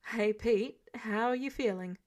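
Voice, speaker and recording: A woman's voice: a little high, a little slow, a little mellow, natural voice. Woman voicing a protective, wry male mentor. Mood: concerned. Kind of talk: audiobook character dialogue.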